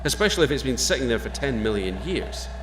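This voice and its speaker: A steady space captain with dry wit, played by a man in a science fiction audio drama. His voice sits at an ordinary middle pitch. Heavy ambient noise hums under the scene. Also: fast, close to an everyday voice. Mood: calm. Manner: calm, dry joking. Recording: noisy background ambience.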